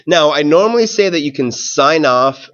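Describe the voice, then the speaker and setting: A man who is a schoolteacher doing a read-aloud for children and talking to pupils in a measured, simple way. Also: fairly high pitch, animated, a bit bright, muffled recording.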